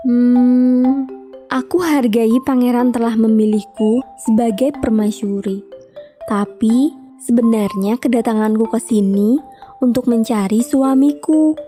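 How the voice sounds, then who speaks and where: A woman voicing a gentle but resolute young princess in a children's animated folktale. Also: a little high, a little slow, soft background music, close to an everyday voice. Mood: polite.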